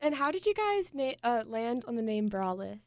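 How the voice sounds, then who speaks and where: A woman's voice: fairly high pitch, sing-song, moderate pace, crowd noise. A young woman hosting a college radio interview, asking friendly, plain questions.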